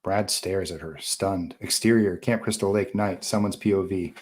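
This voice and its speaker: A man with a fairly low voice narrates a screenplay at a table read. He reads the action in an informal, animated style. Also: moderate pace. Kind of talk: reading screenplay action and dialogue aloud.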